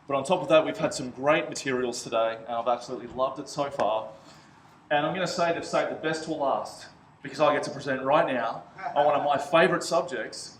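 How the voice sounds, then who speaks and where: A man with a loud voice giving an energetic conference talk, often turning questions to the audience in a large hotel function room. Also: fairly high pitch, a little hiss or hum. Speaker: conference speaker. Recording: echoey hall with faint ambience.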